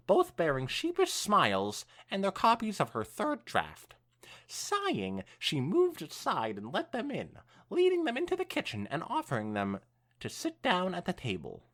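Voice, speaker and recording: Expressive, low-voiced woman reading aloud. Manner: expressive, swooping storytelling delivery. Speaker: podcast co-host reading fan fiction aloud. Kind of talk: animated story reading with casual banter.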